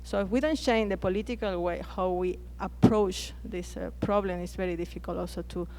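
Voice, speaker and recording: A woman's voice: on the low side, fairly even intonation, brisk, dark tone, rough recording. A Chilean woman whose first language is Spanish, speaking on a conference panel with frequent hesitations and restarted words. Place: conference plenary hall.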